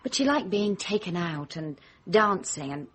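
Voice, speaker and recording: An actress playing a guarded, intelligent young British woman in a radio drama, blunt and sharp-tongued. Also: lowish voice, lively intonation, moderate pace, slightly rough. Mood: earnest, confiding. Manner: blunt, sardonic.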